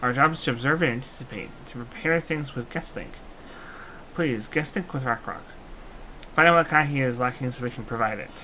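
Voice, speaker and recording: A man's voice: medium pitch, brisk, noisy background, natural voice. A man doing a small eager creature with broken speech. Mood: earnest. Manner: broken third-person speech. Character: small eager creature helper, speaks of itself by name. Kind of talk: fan voicing of game dialogue. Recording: game music behind.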